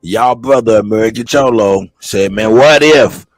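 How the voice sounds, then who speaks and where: A man hosting a livestream, chatting casually in slang and repeating his phrases as he gives shout-outs. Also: fairly low pitch, unhurried, dry recording, clean studio sound, soft background music. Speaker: live stream host.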